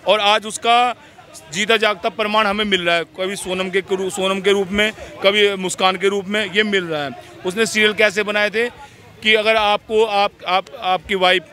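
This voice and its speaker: Male street interviewee, high pitch, bright forceful voice, preachy, frequent rhetorical tags. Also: steady tone, normal speed.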